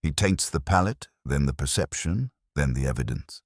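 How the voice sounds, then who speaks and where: A man voicing an imperious, cerebral detective in an audiobook, speaking formally and deliberately. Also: rumbling low, lively intonation, no room sound, clean studio sound.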